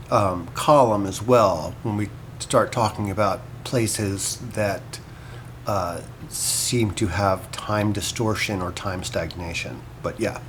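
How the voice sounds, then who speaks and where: An actor playing a scholar who muses hesitantly over notes on an ancient myth in an audio drama, pausing between short phrases, with noise behind him. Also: male voice, lowish voice, close to an everyday voice. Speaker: scholar and commentator. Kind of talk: audio drama monologue as informal annotated commentary.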